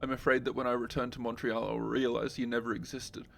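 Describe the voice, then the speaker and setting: A man voicing a tender, lovesick letter writer with a soft, earnest, intimate way of reading. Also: medium pitch, little pitch movement, fast, gravelly, slight background noise, lightly acted. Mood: anxious longing.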